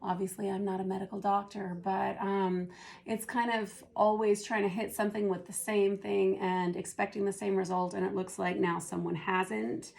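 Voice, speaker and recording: A hoarse woman who is losing her voice, doing a casual tarot reading and thinking aloud as she turns the cards. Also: medium pitch, flat delivery, moderate pace, a little mellow. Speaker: tarot reader.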